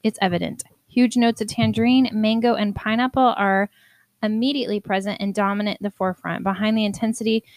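A woman's voice: mid-range voice, fairly even intonation, brisk, no room sound. A woman, co-hosting a casual podcast, chatty and a little stuffed up. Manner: chatty, reads beer notes aloud. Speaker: podcast co-host. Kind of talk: casual chat.

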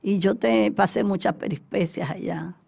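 A woman's voice: on the low side, moderate pace, vocal fry, a little mellow, husky. A middle-aged or older woman with a Dominican Spanish accent, telling her story emphatically in an interview and acting out remembered exchanges. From Dominican Republic. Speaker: interview guest, former representative of her country in China.

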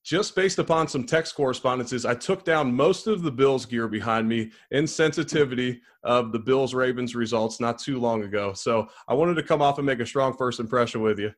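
A man hosts an interview podcast. He opens with a measured, scripted guest introduction, then eases into easy, friendly banter. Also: mid-range voice. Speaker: podcast host.

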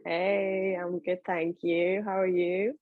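A woman's voice: lowish voice, level tone, slow pace, phone-quality sound. A young woman from Iran, a podcast guest, chatting casually with many fillers and restarts. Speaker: guest, bikini competitor. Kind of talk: casual interview talk.

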